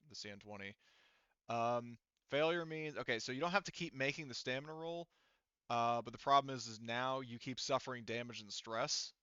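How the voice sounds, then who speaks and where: A man's voice: medium pitch, sharp tone, smooth, dry recording, dull audio. A man acting as game master, casually narrating a tabletop game and explaining rules while thinking aloud.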